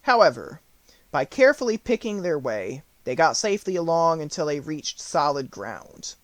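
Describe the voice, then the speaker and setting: Male audiobook narrator for a children's storybook, slightly high voice, dry close recording. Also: very animated, normal speed, no echo.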